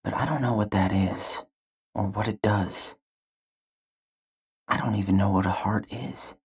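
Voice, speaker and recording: A man playing a withdrawn, naive young teenage boy in a video game, with a soft, breathy voice and a subdued, wondering delivery. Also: lowish voice, unhurried. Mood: pensive, subdued. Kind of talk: introspective video game character monologue.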